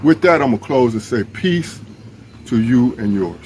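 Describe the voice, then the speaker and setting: A man's voice: mid-range voice, animated, moderate pace, darkish tone, slightly rough. An American man giving an informal, earnest and urgent video address to his community as an organizer, repeating himself for emphasis. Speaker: emergency preparedness and ham radio organizer. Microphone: phone.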